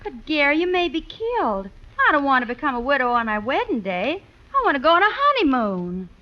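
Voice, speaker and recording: A high, swooping-voiced young bride of the old west, anxious and melodramatic. She pleads with her husband in radio comedy style on a muffled old recording. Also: sing-song, brisk. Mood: pleading. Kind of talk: 1950s radio comedy acting.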